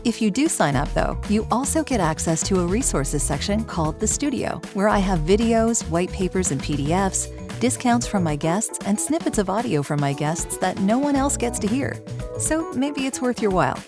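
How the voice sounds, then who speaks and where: A woman podcast host reading a scripted promotional message in a polished, measured way. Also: mid-range voice, lively intonation, music in the background.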